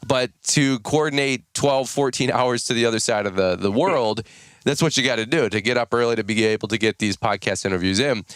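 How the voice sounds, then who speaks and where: An American man in his thirties hosting a podcast in casual, rambling chat, his voice a little raspy from just waking up. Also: mid-range voice, brisk, fairly bright tone, no room sound, studio quality. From United States. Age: 30s. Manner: chatty, rambling, folksy. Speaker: podcast host.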